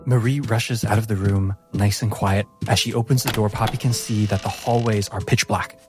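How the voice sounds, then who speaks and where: A man narrating a tabletop game as game master, telling the story dramatically with deliberate, suspenseful pauses. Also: lowish voice, steady tone. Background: music.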